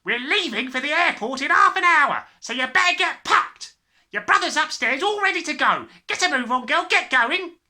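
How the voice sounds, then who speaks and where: Man acting out a shouting, bad-tempered father. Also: very high-pitched, lively intonation, moderate pace, pitched above the performer's own voice, full character voice. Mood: harried. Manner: shouting, curt, harried. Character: loud irritable crooked father, snapping orders.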